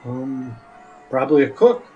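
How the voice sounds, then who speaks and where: Hesitant man, medium pitch, chatting. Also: big pitch swings, phone-quality sound, faint music behind. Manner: hesitant, halting. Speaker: podcast guest. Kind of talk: casual chat, answering questions.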